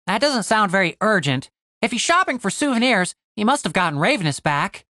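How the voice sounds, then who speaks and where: A sarcastic little halfling man, played by a woman, pitched high and swinging all over. He is crude, whiny and exasperated, always ready to argue in a comic fantasy audiobook, in a very dry recording. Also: big pitch swings, a little fast, pushed and bright, dry recording. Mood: chatty. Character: sarcastic exasperated wisecracking male halfling adventurer.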